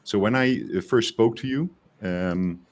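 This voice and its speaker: A man's voice: fairly low pitch, measured pace. A man co-hosting a podcast who talks in a hesitant, searching way, with many fillers and restarts. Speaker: podcast co-host. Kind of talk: casual conversation.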